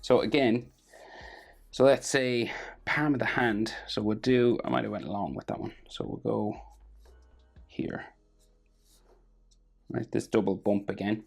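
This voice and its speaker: An Irish man with a gravelly, husky voice, casually talking through a drawing lesson step by step and trailing off quietly as he works. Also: on the low side, unhurried, pauses often. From Ireland. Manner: relaxed, thinking aloud while drawing, trailing off quietly. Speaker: drawing tutor.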